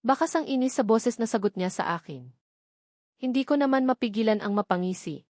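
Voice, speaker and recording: A woman's voice: on the high side, lively intonation, a little fast, a little mellow, no echo, studio quality. A woman with a Filipino accent reading a scripted first-person novel as an audiobook narrator.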